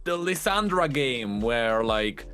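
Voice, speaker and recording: A man's voice: mid-range voice, sing-song, slow, fairly bright tone. A man chatting casually and emphatically on a gaming podcast, repeating phrases to make his point. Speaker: podcast panelist.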